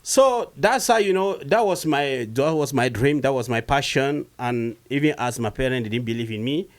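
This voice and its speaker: Animated Cameroonian-born man telling stories. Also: moderate pace. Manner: animated, rising pitch when retelling stories. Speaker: comedian guest. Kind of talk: casual storytelling interview.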